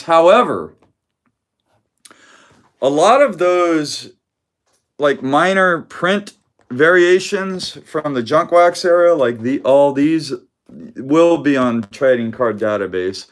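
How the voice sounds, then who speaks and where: Loud, rambling man on a casual live stream. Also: on the high side, expressive, a little slow. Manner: loud voice that carries, laughs often, rambling with restarts. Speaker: card collector hosting a live stream. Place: home room with weak Wi-Fi.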